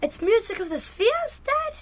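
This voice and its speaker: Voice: very high-pitched, very animated, unhurried, fairly bright tone, a little hiss or hum, exaggerated voice. A performer voicing an earnest, dreamy young boy with a Northern English accent in a radio drama, innocent and insistent. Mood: defensive. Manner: innocent, insistent, matter-of-fact. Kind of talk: 1990s radio drama acting.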